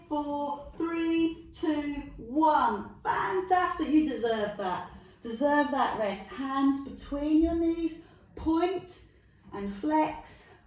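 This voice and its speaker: Woman, London accent, middle-aged, Pilates instructor, high voice, very slow counting, echoey room, mic at a distance. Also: darkish tone. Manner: encouraging, counts reps aloud with jokey asides. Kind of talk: guided workout instruction.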